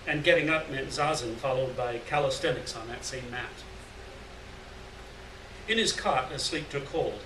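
A middle-aged man giving a live literary reading of scripted story narration in a gallery room. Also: medium pitch. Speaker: story reader. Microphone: stand mic at lectern, picked up by far camera. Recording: roomy, distant mic, noticeable noise.

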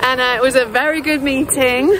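A woman's voice: sits high, steady tone, brisk, rough recording. A middle-aged woman chatting casually into a phone held at arm's length, laughing often and poking fun at herself. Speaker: vlogger renovating a palazzo. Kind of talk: casual vlog chat. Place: city streets at night and a busy bar. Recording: music and crowd chatter behind.

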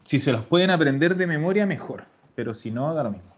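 A man teaching Italian in an online class, patient and explaining slowly, repeating words. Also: fairly high pitch, lively intonation, slightly rough. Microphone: video call. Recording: dull lo-fi call audio.

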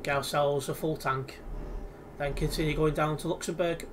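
A man's voice: fairly high pitch, fairly even intonation, normal speed, noisy background. A young man with an English accent, chatting casually and easily while he livestreams a game from home on a headset. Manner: chatty, easygoing, many restarts. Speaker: livestreaming gamer. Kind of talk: casual chat while playing. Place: indoors at home, in front of a computer.

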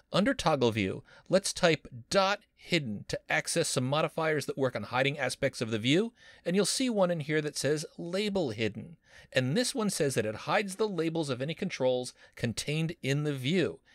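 A man's voice: fairly high pitch, no echo, clean studio sound. A man teaching a screen-recorded coding lesson as a university instructor, upbeat and playful with jokey wordplay.

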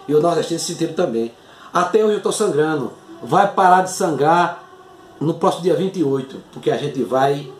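A man's voice: a little high, echoey room. An older man from Northeast Brazil with a Northeastern Brazilian accent, a doctor making an earnest, pleading appeal straight to camera from a small home office. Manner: earnest, pleading, gestures broadly with both hands. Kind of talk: impassioned direct-to-camera appeal.

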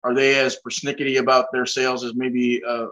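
A man's voice: medium pitch, monotone, fairly quick, fairly bright tone, lo-fi sound. An older man hosting a casual interview over a video call, asking rambling questions and making self-deprecating jokes. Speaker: host.

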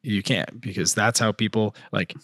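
A man in his thirties as a podcast guest, telling stories animatedly with restarts and trailing phrases, at a boom mic in a studio. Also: steady tone, normal speed, fairly bright tone, no echo. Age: 30s. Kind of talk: casual podcast chat. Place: dim studio set on a couch. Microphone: boom mic, headphones.

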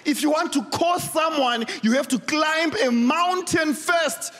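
A young man from Zimbabwe with a Zimbabwean accent, whose first language is not English, doing an animated stand-up set on a big talent show stage with a handheld mic, shouting punchlines and laughing between lines. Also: very high voice, measured pace. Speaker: stand-up comedian. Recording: loud music and crowd behind. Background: cheering crowd and show music.

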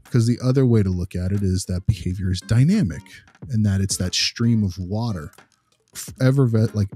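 A man's voice: lowish voice, warm tone, breathy edge. A man talking conversationally as a podcast host, explaining at length in an earnest, emphatic way. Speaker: podcast host discussing behavior analysis. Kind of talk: conversational discussion.

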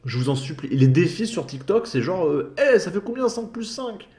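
Sarcastic male commentator, slightly high. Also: very animated, normal speed, a little mellow. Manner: sarcastic, mocking asides. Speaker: commentary channel host. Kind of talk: voice-over commentary on clips.

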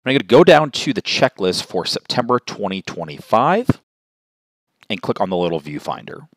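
A man narrating an informal step-by-step software tutorial in an explanatory way, as a voice-over on a close mic. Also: on the low side, very animated, moderate pace, no room sound.

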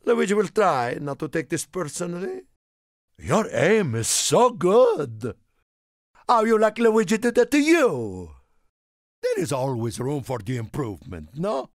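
A man voicing a small, excitable, friendly Italian car in a video game, theatrical and exclamatory, with an Italian accent and broken English. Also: on the high side, unhurried, no echo, studio quality, exaggerated voice. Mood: offended, then eager, encouraging. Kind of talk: animated video game character lines.

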